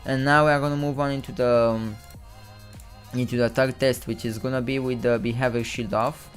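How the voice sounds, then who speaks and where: A man's voice: medium pitch, little pitch movement, brisk, faint music behind. Young man with a Romanian accent. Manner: rambling, restarts and stumbles over words. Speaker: live-stream host. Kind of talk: casual live-stream commentary.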